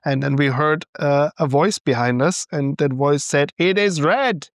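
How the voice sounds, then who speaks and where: A young man with a German accent answers podcast questions in a relaxed, hesitant way. His voice sits a little higher than most men's, and his laughter comes easily. The sound is dry and close to the mic. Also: measured pace, no room sound. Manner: thoughtful, hesitant, laughs often. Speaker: podcast co-host. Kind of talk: casual chat.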